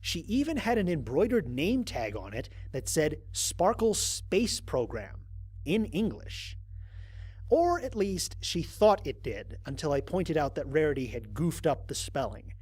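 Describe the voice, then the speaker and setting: A man's voice: a little high, measured pace, husky, heavily acted. A man playing a lone astronaut who narrates his mission logs in a wry, exasperated, conversational way, as in an audio drama. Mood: exasperated, amused. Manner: conversational, expressive, sardonic. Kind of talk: dramatized first-person log narration.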